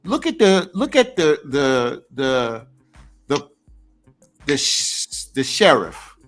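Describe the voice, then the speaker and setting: Bright voiced guy pushing his point on a chat show, swoops a lot. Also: a little high, sing-song, slow pace, soft background music. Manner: emphatic and questioning. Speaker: show regular. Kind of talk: casual debate chat.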